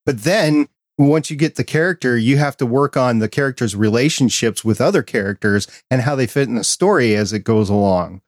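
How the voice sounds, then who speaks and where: A man's voice: medium pitch, a little fast, few pauses, dry recording, clean studio sound. A middle-aged man hosting a podcast, chatting casually and putting questions to his guest. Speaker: podcast host, interviewer. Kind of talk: casual interview chat.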